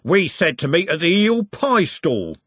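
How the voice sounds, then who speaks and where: A man's voice: a little high, sing-song, measured pace, a bit bright, slightly rough, no echo, voice pushed up, exaggerated voice. A man voicing a menacing male street gang leader in a storybook, with a blunt, mocking, sneering manner. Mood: suspicious.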